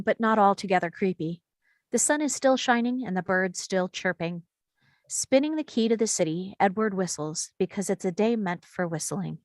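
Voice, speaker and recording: A woman's voice: medium pitch, no echo. A woman reading stage directions as the narrator of a screenplay table read, in a scripted storytelling style.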